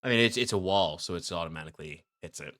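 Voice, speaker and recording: A man narrating as game master of a tabletop game, relaxed and laughing often, with many fillers. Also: low voice, quick pace, no echo, clean studio sound.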